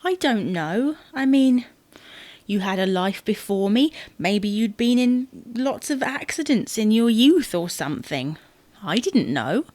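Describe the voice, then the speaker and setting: A woman's voice: medium pitch, very animated, measured pace, darkish tone, dry recording. An actress playing a matter-of-fact, defensive wife in a comedy audio drama, needling her husband with dry, pointed retorts.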